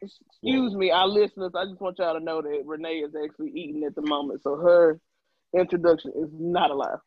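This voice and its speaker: A woman co-hosting a podcast, talking to listeners in an animated, teasing casual chat. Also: low voice, fairly quick, no echo, muffled recording. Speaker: podcast co-host.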